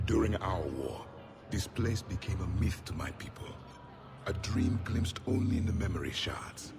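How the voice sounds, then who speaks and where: Proud ancient alien soldier, low stern voice, measured and contemptuous, long reverb, music behind. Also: male voice, expressive. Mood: wistful. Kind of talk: video game cutscene dialogue.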